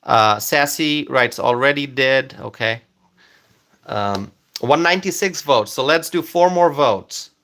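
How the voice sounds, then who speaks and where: Bright-voiced male live stream host. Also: medium pitch. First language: Bengali. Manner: friendly, thanks viewers by name, halting with fillers. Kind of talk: casual live stream chat reading comments.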